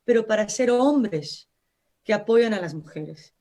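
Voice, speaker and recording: A woman's voice: mid-range voice, lively intonation, a little slow, dark tone. A woman from Brazil, a native Portuguese speaker with a Brazilian accent, speaking Spanish as a guest expert on a live radio interview, explaining earnestly with frequent restarts.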